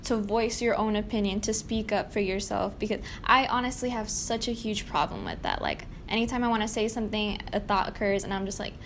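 A woman's voice: medium pitch, a little fast, hardly any pauses. A young woman in a casual, candid group conversation, talking in a self-effacing, conversational way peppered with "like". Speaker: podcast guest, play cast member. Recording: faint noise, room ambience.

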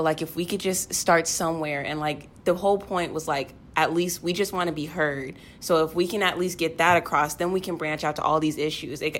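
Earnest lower-voiced teenage girl, faint rumble. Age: teens. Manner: earnest, insistent. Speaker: young cast member of a play. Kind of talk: casual discussion.

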